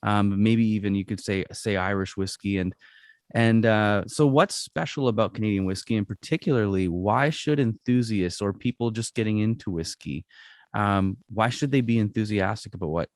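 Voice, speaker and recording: A man's voice: fairly low pitch, normal speed, no room sound, clean studio sound. A man hosting an interview, framing long, meandering questions as he searches for words. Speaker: podcast host.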